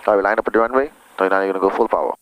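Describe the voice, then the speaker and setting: Male pilot-instructor, slightly low pitch, casual and reassuring, heard through a cockpit headset with aircraft noise. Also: racing, clear, resonant tone. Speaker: pilot giving flight instruction. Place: small plane cockpit in flight operations. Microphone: aviation headset. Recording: noisy aircraft cockpit intercom.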